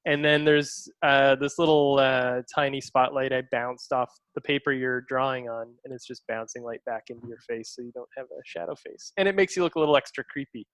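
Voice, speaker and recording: A man's voice: mid-range voice, steady tone, brisk, hardly any pauses, fairly bright tone, no room sound. Upbeat man explaining film shots. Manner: enthusiastic, explains choices, frequent fillers. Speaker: director. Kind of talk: behind-the-scenes commentary.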